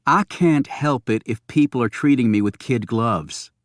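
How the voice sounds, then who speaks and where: A man's voice: mid-range voice, a little slow, dry recording, clean studio sound, lightly acted. A man voicing a defiant, defensive female rookie agent in an audiobook. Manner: prickly, defensive.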